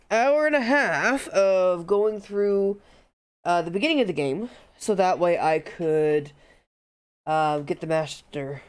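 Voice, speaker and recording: A woman's voice: on the low side, clear, resonant tone. A woman game streamer chatting casually on a live stream, sounding stuffed up and nasal from allergies, rambling with plenty of fillers.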